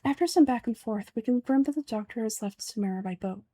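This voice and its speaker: A woman's voice: fairly high pitch, fast talker, warm tone, no echo. A woman who is an amateur voice actor, performing a reading of video game dialogue.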